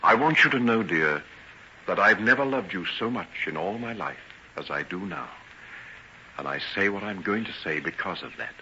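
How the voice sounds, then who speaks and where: A tortured noble doctor in an old radio play, deep voice, earnest and formal, muffled hissy recording. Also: male voice, expressive, normal speed, sharp tone, full character voice. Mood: tender, sorrowful. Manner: earnest, formal, theatrical phrasing. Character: tormented, guilt-ridden gentleman doctor, tender and self-sacrificing. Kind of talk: old-time radio drama acting.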